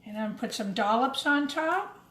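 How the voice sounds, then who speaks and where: Higher-voiced woman teaching children in echoey kitchen. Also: expressive, fairly quick. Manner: addresses young children directly in simple, explanatory phrases. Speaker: teacher presenting a children's cooking demonstration. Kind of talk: step-by-step cooking demonstration for children. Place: indoors in a kitchen. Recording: roomy echo, clattering kitchen noise.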